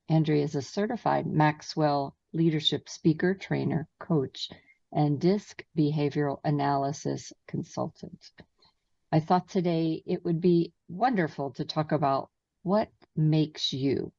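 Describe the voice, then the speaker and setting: A woman's voice: deep voice, creaky, warm tone, no room sound. A woman hosting an interview podcast, reading her guest's bio with small stumbles and then asking questions. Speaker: podcast host. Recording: distant, dull, dry mic sound.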